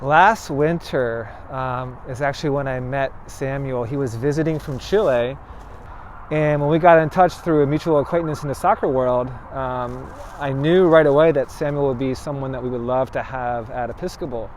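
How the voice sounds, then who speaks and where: A soccer coach speaking in an interview, measured and thoughtful, with some fillers. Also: male voice, mid-range voice, slight background noise.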